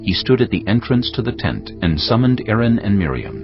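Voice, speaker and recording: A man narrating scripture from a script in a formal, measured storytelling manner. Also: lowish voice, very animated, lo-fi sound. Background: music.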